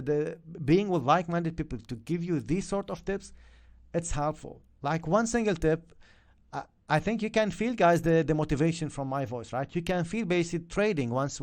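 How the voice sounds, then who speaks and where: Man with an Arabic first language, fairly high pitch, energetic trading coach on a live stream. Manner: energetic, repeats "okay?" and "yeah?" to viewers.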